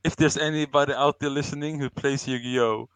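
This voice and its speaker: A man's voice: mid-range voice, fairly even intonation, a little fast, fairly bright tone, smooth, dry recording, rough recording. A young adult man from Sweden, co-hosting a casual podcast chat, telling rambling stories about himself with plenty of laughs and self-mockery. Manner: rambling storyteller, self-mocking, laughs often. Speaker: podcast co-host.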